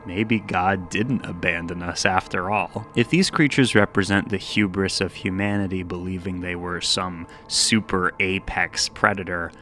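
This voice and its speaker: A man's voice: sits low, sharp tone. A man giving video essay commentary, musing and dramatic, shifting between scripted narration and casual, wry asides.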